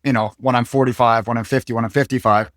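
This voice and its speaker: A male interview host with a mid-range voice asks long, winding questions, pausing and restarting as he goes. He sounds close to the mic in a dry room. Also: mid-range voice, steady tone, dry recording. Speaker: podcast host.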